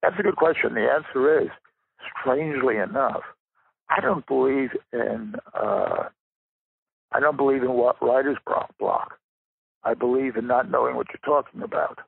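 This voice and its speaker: Gravelly older man on a phone line. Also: mid-range voice, big pitch swings. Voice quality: gravelly, husky. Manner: deliberate, halting phrasing. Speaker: interview guest, writer and rare book dealer. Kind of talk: reflective interview talk.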